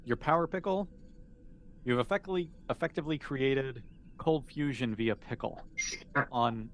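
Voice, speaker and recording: A man acting as game master, improvising narration in a halting way as he thinks aloud. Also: medium pitch.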